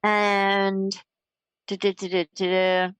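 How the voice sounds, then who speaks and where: A woman's voice: mid-range voice, monotone, slow pace, smooth, no room sound. Casual podcast girl, kinda nasal and sniffly, lots of likes and ums, dry sound. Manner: rambling, filler-heavy, swears casually. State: sniffly, stuffed-up nose. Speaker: podcast co-host. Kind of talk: casual chat.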